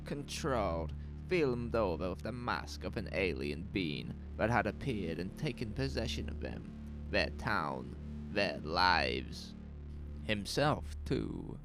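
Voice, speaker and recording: A man narrating a scripted horror audio drama in a measured, suspenseful way, with music behind him. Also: rumbling low, creaky, low-quality recording. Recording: music bed under the voice.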